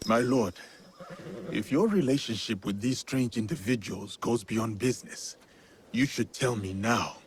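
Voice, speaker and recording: An actor playing a stern, measured warrior of feudal Japan in a video game, formal and terse. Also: male voice, mid-range voice, slight background noise, natural voice. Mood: grave, firm. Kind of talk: video game cutscene acting.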